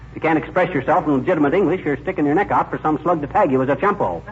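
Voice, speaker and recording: An actor playing a wisecracking, exasperated, folksy husband with slangy bluster, in 1940s radio comedy style. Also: male voice, a little high, rapid-fire, close to an everyday voice. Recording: old radio recording, dull, loud noise.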